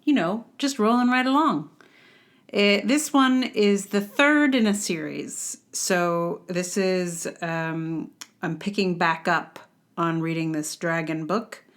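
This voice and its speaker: A woman's voice: on the low side, slow pace. A woman hosting a podcast, reading her own book-review blog aloud in a wry, sardonic way with exaggerated emphasis. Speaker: podcast host.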